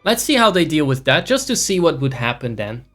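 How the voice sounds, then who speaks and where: A man's voice: a little high, very animated, a little fast, fairly bright tone, faint music behind. A man livestreaming a video game, giving casual commentary in a profane, sarcastic, ranting way. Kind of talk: casual live gameplay commentary.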